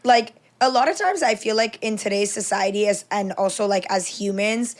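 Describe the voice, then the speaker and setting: A young adult woman hosting a podcast, talking in an earnest, reflective way, on a studio boom mic in a small room. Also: moderate pace. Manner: earnest, reflective, filler-heavy. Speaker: podcast host. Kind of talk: casual conversation. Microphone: studio mic on boom arm, headphones.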